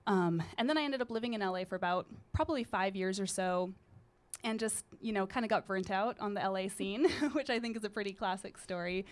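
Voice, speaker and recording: A woman in her thirties, a singer-songwriter with a low, slightly gritty voice, chatting casually and loosely as a guest in a radio studio. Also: brisk. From British Columbia, Canada. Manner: relaxed, rambling, with frequent fillers. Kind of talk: casual interview chat. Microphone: studio microphone.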